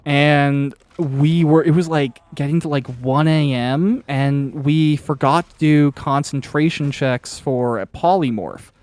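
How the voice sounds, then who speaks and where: A man's voice: fairly high pitch, takes it slowly, hardly any pauses, a little mellow, dry recording. Casual rambling man, dry close sound. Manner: rambling, anecdotal, swears casually. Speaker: podcast interviewer and author. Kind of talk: casual interview chat.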